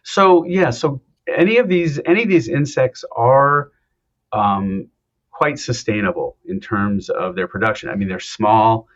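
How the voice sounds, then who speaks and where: A male professor from Ohio, answering interview questions in a relaxed, explanatory way, pausing often with ums. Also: medium pitch, dull audio. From Ohio, United States. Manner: explanatory, hesitant with many ums. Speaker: anthropology professor, guest. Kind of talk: conversational interview.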